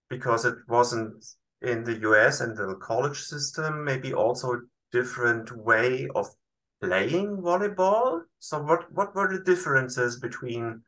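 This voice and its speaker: Bright-voiced man asking interview questions. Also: medium pitch, low-quality recording. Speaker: podcast interviewer.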